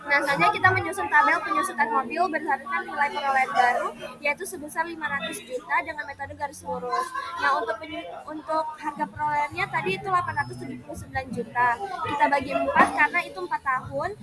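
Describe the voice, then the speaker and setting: A woman's voice: high voice, fast talker, heavy background noise. A young woman, a student, presenting a worked accounting problem in class, talking hesitantly with frequent fillers. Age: young adult.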